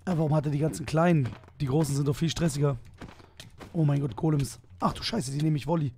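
A hoarse young man streaming a game on a headset, chatting along excitedly as he plays. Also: fairly high pitch, fast, a little hiss or hum. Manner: excitable, thinking aloud, swearing at surprises. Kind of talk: casual gaming commentary. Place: small room at a desk.

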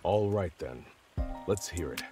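Formal army general, animated delivery. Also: male voice, low voice, over music. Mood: measured, serious. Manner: formal, military, measured phrasing. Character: formal, dutiful middle-aged male army general. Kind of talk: English video game voice acting.

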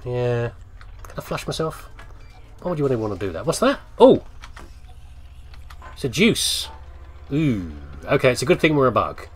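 A man's voice: mid-range voice, big pitch swings, moderate pace, pauses often, husky. A man with a British accent giving casual, chatty game commentary, playfully muttering asides as he thinks aloud. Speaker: gamer doing a let's play. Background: video game ambience.

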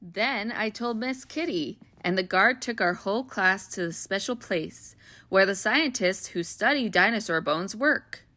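A woman reading a children's picture book aloud in a gentle, expressive, child-friendly way. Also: mid-range voice, measured pace, no echo. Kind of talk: storybook read-aloud.

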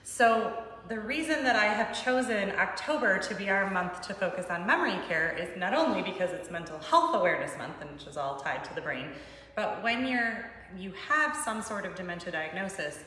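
Bright, lower-pitched woman in very echoey room. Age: middle-aged. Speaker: guest, sales and marketing director. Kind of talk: explanatory interview talk. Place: large wood-paneled room indoors. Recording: very echoey room, faint background noise.

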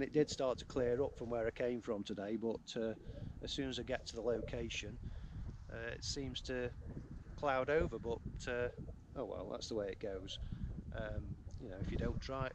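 Halting middle-aged man on a moor. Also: medium pitch, fairly even intonation, noisy background. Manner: hesitant, many ums and restarts. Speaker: landscape photographer vlogger. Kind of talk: casual on-location vlog talk. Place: open moorland outdoors. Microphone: camera held close to face.

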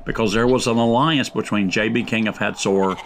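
A man narrating a scripted Bible story drama in a measured, solemn way. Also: lowish voice, fairly even intonation, a little hiss or hum.

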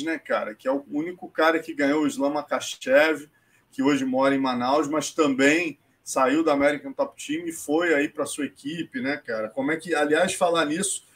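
A middle-aged man with a Brazilian Portuguese accent asking informal, halting questions over a video call from his home office. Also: a little high, fairly bright tone. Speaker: interviewer. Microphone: earphone headset on video call.

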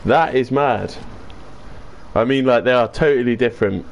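A young man streaming a shooter game, bantering crudely and yelling when excited. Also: medium pitch, moderate pace, creaky. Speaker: gaming streamer. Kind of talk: casual gameplay chat with swearing.

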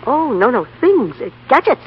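Meek fussy little clerk, hesitant, voice jumps around, noisy old 1930s radio. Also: male voice, very high-pitched, sing-song, moderate pace, highs cut off. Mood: shy. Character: meek, flustered, timid male office clerk and tinkerer.

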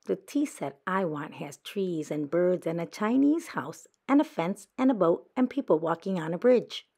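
An earnest little girl badger in a storybook, acted out by a woman reader. The voice is fairly low and a bit gravelly, with a trusting, plain-spoken manner. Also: expressive, moderate pace, mellow. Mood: earnest, wistful. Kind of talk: children's picture book read-aloud.

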